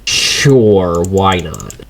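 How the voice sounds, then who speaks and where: An easygoing man chats casually while gaming. He speaks at a slow pace, with pitch that moves around a lot. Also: wildly swooping pitch, gravelly, a little hiss or hum. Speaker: fellow player, guest. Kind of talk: casual game chat.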